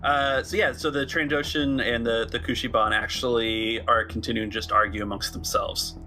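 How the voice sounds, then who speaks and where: A man's voice: mid-range voice, steady tone, moderate pace, bright, forceful tone, faint music behind. A man acting as game master, casually narrating a tabletop roleplaying game.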